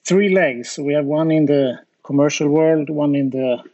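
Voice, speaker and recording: A middle-aged man from Sweden with a Swedish accent, answering interview questions in a conversational, measured and hesitant way. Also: fairly high pitch, mellow, highs cut off. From west coast of Sweden. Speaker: interview guest, studio founder and designer.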